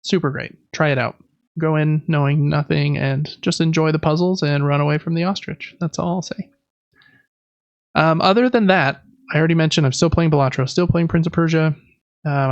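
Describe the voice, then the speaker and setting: A man talks casually as a podcast co-host, rambling and enthusiastic. His voice sits a little high with plenty of vocal fry. The sound is dry and close, slightly dull. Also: no room sound. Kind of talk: casual gaming podcast chat.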